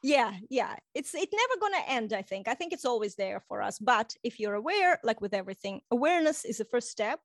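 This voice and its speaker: A woman from Estonia whose first language is Russian, speaking English with a light Russian accent as a guest coach in a casual interview, animated and often laughing. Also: on the high side, a little fast, few pauses, no room sound, clean studio sound.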